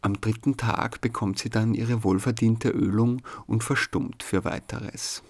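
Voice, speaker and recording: A man's voice: fairly low pitch, steady tone, a little slow. A man with an Austrian accent, hosting a radio programme and reading scripted narration. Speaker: radio show host.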